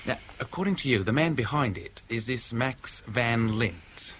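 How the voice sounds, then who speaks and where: An actor playing a wry, blunt police inspector in a 1970s radio drama, questioning in a folksy, methodical way. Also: male voice, medium pitch, animated, unhurried, fairly bright tone. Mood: brisk, probing. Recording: old radio drama recording, faint noise.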